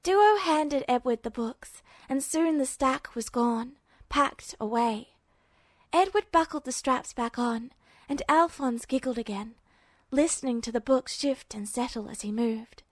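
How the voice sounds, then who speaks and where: A woman's voice: sits high, measured pace, no room sound. A woman narrating a story from a script as an audiobook-style reader. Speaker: podfic narrator.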